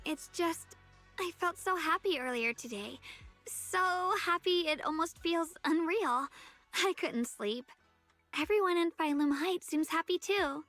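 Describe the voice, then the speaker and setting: High, bright, sweet young woman. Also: animated, unhurried, exaggerated voice. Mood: wistful, uneasy. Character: sweet, caring young woman who bakes, shyly wistful. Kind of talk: anime-style video game voice acting. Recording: background music under voice.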